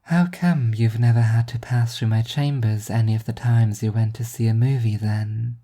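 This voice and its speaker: A woman voicing an eerie, doll-like teenage girl prophet who speaks in a flat, measured, pedantic way. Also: very deep voice. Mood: detached, probing.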